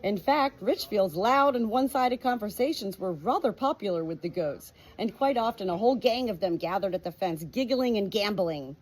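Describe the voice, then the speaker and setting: A woman reading an audiobook as an expressive storybook narrator. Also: mid-range voice, moderate pace, few pauses. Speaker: audiobook reader.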